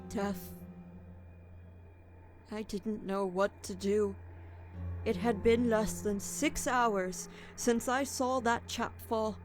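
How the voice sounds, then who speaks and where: A woman playing a guilt-ridden young man, a desperate petty thief, confessing and narrating in a radio drama. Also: fairly high pitch. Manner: brooding, dramatic first-person confession.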